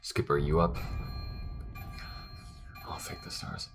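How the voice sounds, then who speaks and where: A man playing an earnest alien smuggler and loyal friend in an intimate audio roleplay, speaking haltingly and confidingly to the listener in a gravelly voice. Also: close to an everyday voice. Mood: urgent, alarmed. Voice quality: very deep, gravelly. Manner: halting and confiding, with frequent pauses. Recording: music behind the voice.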